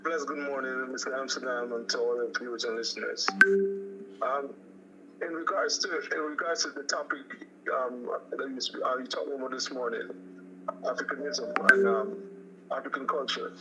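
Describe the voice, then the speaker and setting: A man from Guyana with a Guyanese accent, calling in to a radio show and talking in a halting way, full of restarts and fillers. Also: medium pitch, very noisy. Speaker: caller. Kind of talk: call-in radio chat. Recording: muffled phone call.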